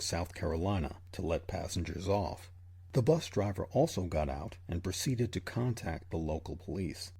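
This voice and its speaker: A man hosting and narrating a history program in a scripted documentary style, with a husky voice. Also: low voice, a little mellow. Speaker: host and narrator.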